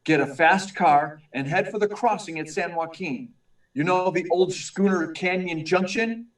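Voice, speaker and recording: A man's voice: sits high, a little slow, far from the mic. A man playing an earnest, urgent young newspaper reporter in a 1940s-style radio drama. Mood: urgent, insistent. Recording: echoey room, away from mic.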